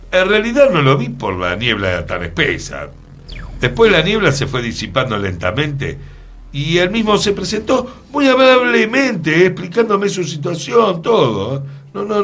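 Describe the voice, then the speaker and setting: A man's voice: high-pitched, a bit bright, pitched above the performer's own voice, exaggerated voice. A man with a Buenos Aires accent playing a courteous, mysterious adventurer telling his tale in a whimsical radio play. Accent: Argentine, Buenos Aires. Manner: theatrical, storytelling. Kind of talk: radio theatre dialogue.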